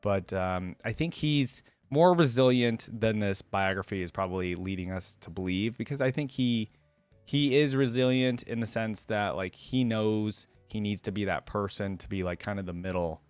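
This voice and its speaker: A man with a fairly low voice hosts a lore reaction in a casual way, reading story text and commenting on it. The sound is dry, with very little room in it. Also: normal speed, darkish tone, clean tone, no echo, faint music behind. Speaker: reaction video host reading lore aloud.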